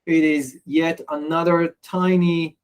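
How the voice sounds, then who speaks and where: Man demoing software, halting. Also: a little high, flat delivery, smooth. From Israel. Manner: hesitant, many fillers and restarts. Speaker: software presenter. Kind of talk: software demo walkthrough.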